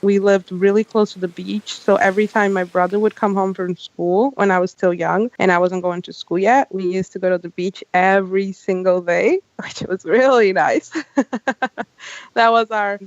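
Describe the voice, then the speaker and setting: A woman's voice: medium pitch, steady tone, moderate pace, dry recording, highs cut off. A young woman from Brazil, a guest in an interview, telling her story in an upbeat way and laughing often. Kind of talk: conversational interview.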